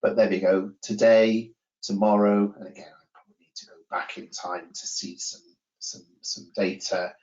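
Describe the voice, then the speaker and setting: A man giving an informal software demonstration, talking through the screen with hesitant, repeated phrasing and self-corrections. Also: fairly low pitch, fairly even intonation, normal speed. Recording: roomy, distant, muffled microphone.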